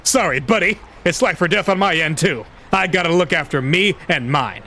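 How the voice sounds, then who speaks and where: A male narrator voicing an impatient, brash adult man in a storybook reading, with a loud, raised, strained voice. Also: a little high, moderate pace, a little hiss or hum, pitched above the performer's own voice. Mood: curt.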